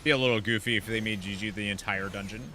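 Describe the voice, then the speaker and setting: A man streaming a video game, giving informal, off-the-cuff commentary in a casual, animated way. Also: on the low side, quick pace, a little hiss or hum. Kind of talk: casual livestream gameplay commentary.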